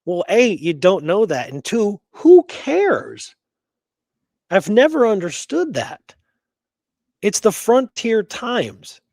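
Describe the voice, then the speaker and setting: A man's voice: sits high, wildly swooping pitch, slow pace, a little mellow, dry recording, studio quality. A man hosting a podcast in a casual discussion of history, musing aloud with rhetorical questions and repeated phrases, and freely giving his opinions. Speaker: podcast host.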